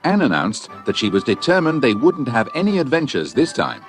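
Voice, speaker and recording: Male narrator, scripted children's adventure audiobook, medium pitch, highly varied intonation. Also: huge pitch swings, fairly quick, soft background music.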